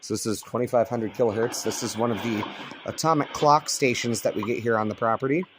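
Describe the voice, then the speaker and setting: A man's voice: mid-range voice, steady tone, fairly quick, noisy background. An American man from Colorado giving casual, laid-back vlog commentary as a radio hobbyist, recorded on a cell phone. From Colorado, United States. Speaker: shortwave radio hobbyist and video host. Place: indoors at a home on a rural property.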